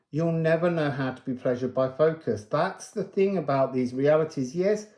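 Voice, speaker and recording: Slow-paced, musing card reader in echoey room. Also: medium pitch, a little mellow. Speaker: tarot and oracle card reader. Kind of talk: casual, rambling spiritual card reading. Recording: roomy, echoing sound.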